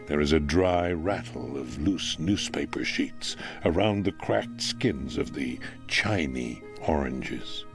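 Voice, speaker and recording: A man's voice: a bass voice, expressive, slow pace, few pauses. A man reading a literary memoir aloud as scripted narration. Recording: music under the voice.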